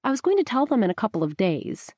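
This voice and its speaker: A woman's voice: on the high side, big pitch swings, fast talker, darkish tone, no room sound, voice pushed up. Woman voicing a stubborn young woman, earnest and a bit defensive, dry close sound.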